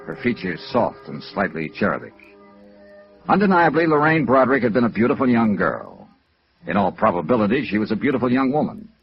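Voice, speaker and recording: An actor playing a wry, businesslike male insurance investigator in 1950s radio noir style, clipped and matter-of-fact. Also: medium pitch. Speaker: lead actor and narrator of radio detective drama. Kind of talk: 1950s radio noir acting, first-person narration. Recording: muffled vintage radio recording with music.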